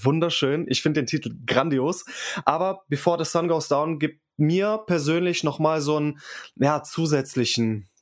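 A man's voice: a little high, normal speed. A man hosting a music interview, speaking with enthusiasm and frequent hesitant fillers. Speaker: podcast host and interviewer.

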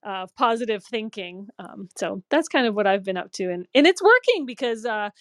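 A woman's voice: mid-range voice, big pitch swings, normal speed, fairly bright tone, no echo. A woman joining a talk by remote call, speaking in a chatty, upbeat way with many fillers. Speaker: guest caller. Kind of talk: casual chat.

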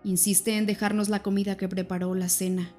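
Tense young male vampire read by a woman, protective, low urgent murmur, flat, audiobook. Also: brisk, faint music behind, close to an everyday voice. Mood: cold.

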